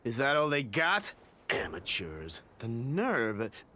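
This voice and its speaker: Animated, scornful crooked merchant. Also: male voice, mid-range voice, slow pace, slight background noise, full character voice. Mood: indignant. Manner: theatrical, scornful, haggling. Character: indignant, pedantic-hating crooked male merchant, scheming and smug. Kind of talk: video game character acting.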